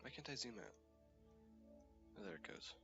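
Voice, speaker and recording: A man's voice: medium pitch, wildly swooping pitch, normal speed, gravelly, pushed and bright, lo-fi sound, soft background music. A young man with a cold, sounding sniffly and stuffy, casually talking through a space game with lots of hesitant fillers. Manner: rambling, hesitant, many fillers. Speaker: gaming channel host. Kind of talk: casual gameplay commentary.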